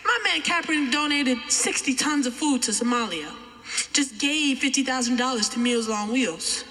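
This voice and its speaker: A twelve-year-old American boy performing spoken-word poetry with emphatic, deliberate oratory. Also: very high-pitched, sharp tone. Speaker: young poet reciting. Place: large chapel, live audience event. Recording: echoey large chapel, long reverb.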